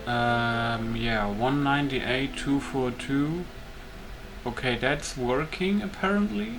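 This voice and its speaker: A man's voice: medium pitch, slow pace. A man giving casual let's play commentary as a gaming host, thinking aloud in a hesitant way. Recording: loud music behind the voice. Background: music.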